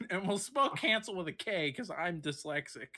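A man's voice: high voice, expressive, moderate pace, pushed and bright. A young man co-hosting a casual stream, joking crudely and laughing often. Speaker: stream co-host. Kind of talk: casual chat.

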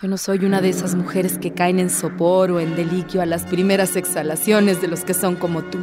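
An actress playing a devout young maiden in a radio drama, proud and defiant, with formal, dignified speech. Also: female voice, fairly low pitch, flat delivery, a little fast, lightly acted. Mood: composed, challenging. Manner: formal, dignified, firm retorts. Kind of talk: theatrical radio drama acting. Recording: music behind the voice.

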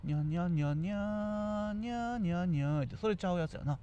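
A man's voice: high-pitched, quick pace, dark tone. A man with a Kansai Japanese accent co-hosting a radio talk in offhand, familiar dialect with casual comic banter. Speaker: radio talk show co-host. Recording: dull lo-fi recording with faint noise.

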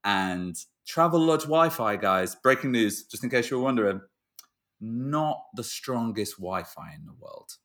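Low-voiced, effusive male podcast host. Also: animated, unhurried, a bit bright. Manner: effusive, jokey, informal. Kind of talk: casual interview chat.

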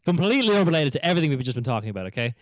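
A young Irish man with a Dublin accent that leans a little American, chatting and telling stories on a podcast. His voice sits fairly high for a man and swings widely in pitch. The recording is close and dry. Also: very fast, no echo, rough recording. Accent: Dublin Irish with an American tinge. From Ireland. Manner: chatty, giggly, animated storyteller. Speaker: podcast co-host. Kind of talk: casual podcast chat.

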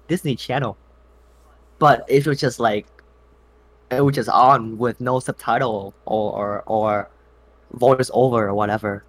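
A man's voice: medium pitch, fairly bright tone. Playful man from Vietnam, joking. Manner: playful, jokey banter. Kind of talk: casual chat.

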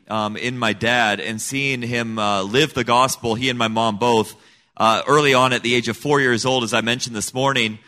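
A middle-aged man tells his story as an interview guest with an earnest, emphatic drive. His voice is bright and forceful, sitting at an average pitch for a man. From Senegal. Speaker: guest, missionary kid. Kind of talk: personal testimony in an interview.

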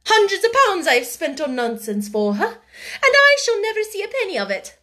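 A woman's voice: very high voice, sing-song, brisk, pushed and bright, pitched above the performer's own voice, full character voice. A woman reading a storybook, voicing a furious, cruel schoolmistress with a fierce, imperious, biting manner. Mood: furious, resentful.

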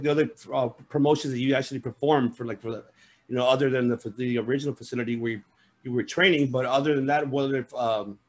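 A male host asks long, winding questions, often repeating a phrase before he gets to the point. His voice sits at a middle pitch for a man. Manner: meandering, repetitive questions. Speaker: podcast host. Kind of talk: casual interview chat.

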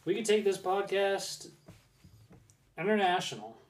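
A man co-hosting a podcast in casual chat, joking and quick to quip. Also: high-pitched, big pitch swings. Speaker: podcast co-host.

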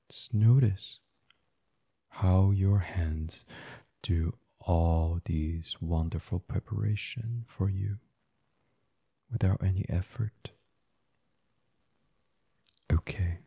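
A man with a low, very breathy and husky voice leads a sleep meditation. He speaks gently and very slowly in an ASMR style. The sound is dry and close. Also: dry recording. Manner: gentle, soothing, unhurried, breathy. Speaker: guided meditation host. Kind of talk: soft guided sleep meditation, ASMR reading.

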